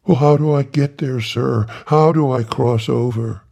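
A jittery little preacher man with a squeaky, rising voice that tumbles out in eager pleas, as a storyteller puts him on. He sounds gullible and desperate to please, a touch husky and gravelly underneath. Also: measured pace, dark tone. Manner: pleading, breathless and high. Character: frantic little male reverend, gullible and pious.

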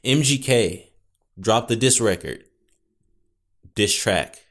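Solo podcast host, young man with a low booming voice; loose, wandering delivery full of wry asides. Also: expressive, slow. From Northwest Indiana. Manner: rambling, self-correcting, wry asides. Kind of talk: casual solo podcast chat. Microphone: studio podcast mic.

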